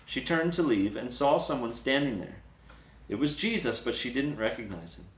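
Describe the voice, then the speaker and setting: Male storyteller for dramatic scripture narration, mid-pitched voice, recorded in an echoey room. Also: expressive, normal speed. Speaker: storyteller, Bible reader.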